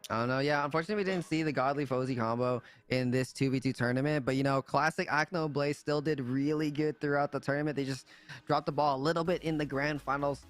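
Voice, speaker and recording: A man's voice: fairly high pitch, steady tone, fast talker, soft background music. A man commentating an esports tournament on a livestream in a casual, appreciative way, often repeating his phrases. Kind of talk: casual live game commentary and sign-off.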